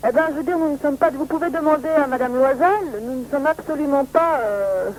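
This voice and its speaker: A woman's voice: sits high, a little fast, a little mellow. A woman journalist speaking on the phone in an earnest, insistent manner, often repeating herself. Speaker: magazine journalist. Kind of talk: phone conversation. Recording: noisy phone line recording.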